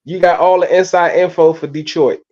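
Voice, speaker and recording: A man's voice: a little high, fairly even intonation, moderate pace, a little mellow. A man hosting a casual live sports stream over a phone, talking in slang and often repeating himself as he stumbles over words. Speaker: host of a live sports fan show. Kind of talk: casual live-stream chat about college football. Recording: dull, muffled phone audio.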